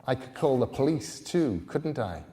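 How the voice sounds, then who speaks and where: A man's voice: mid-range voice, big pitch swings, normal speed, warm tone, lightly acted. A man voicing a wary, self-conscious teenage girl from Scotland in an audiobook, guarded and plain-spoken. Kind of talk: audiobook character dialogue.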